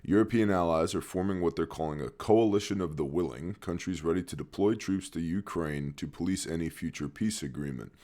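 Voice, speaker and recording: A man hosting a news briefing, reading items in a steady tone and cutting in with dry, sarcastic asides. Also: deep voice, brisk, few pauses. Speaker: news commentary host.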